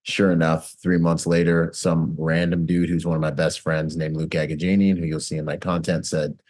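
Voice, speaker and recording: Deep-voiced energetic man presenting online. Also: moderate pace. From Bahamas, grew up in Washington State. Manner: energetic, conversational, self-promoting. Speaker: host and presenter. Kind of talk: online presentation on a video call.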